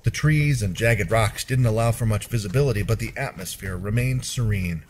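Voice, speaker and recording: A man narrating a first-person fantasy story in a measured, expressive storyteller's delivery. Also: on the low side, a little hiss or hum.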